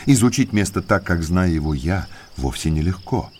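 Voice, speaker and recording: An actor playing a well-mannered male naturalist in an audio drama, an eager guide to eerie moors who speaks politely and deliberately. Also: deep voice, animated, a little mellow, a little hiss or hum. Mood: grave warning. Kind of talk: Russian audiobook drama acting.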